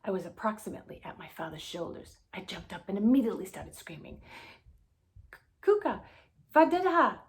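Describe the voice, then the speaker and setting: A woman reading a children's spooky story aloud in an expressive storybook style. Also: lowish voice, quick pace, hardly any pauses. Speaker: guest story reader.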